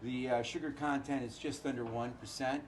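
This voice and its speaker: A hoarse middle-aged man, a winemaker, talking casually and haltingly with many filler uhs. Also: medium pitch, fairly even intonation, slight background noise. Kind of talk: casual chat.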